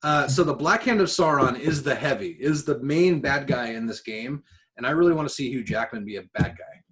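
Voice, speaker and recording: A man's voice: mid-range voice, animated, fairly quick, fairly bright tone, rough recording. A man co-hosting a casual podcast chat, speaking his opinions freely with plenty of swearing. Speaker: podcast co-host. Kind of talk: casual podcast chat about films and games.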